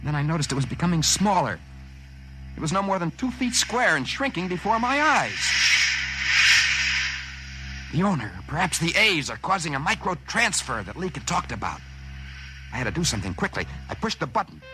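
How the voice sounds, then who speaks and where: An actor playing an excitable ordinary man in a 1950s science fiction radio drama, narrating in a theatrical, wry way. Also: on the high side, a little fast. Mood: panicked. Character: excitable ordinary man narrating his sudden good fortune. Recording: old radio show with music behind.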